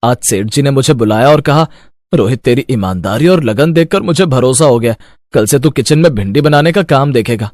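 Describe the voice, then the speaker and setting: A man's voice: medium pitch, animated, moderate pace, a little mellow, no room sound, lightly acted. A man acts a dutiful fifteen-year-old son in a cartoon moral tale. He explains himself earnestly and reassuringly in a mid-range voice. The recording is dry and close. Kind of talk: animated moral-story dialogue.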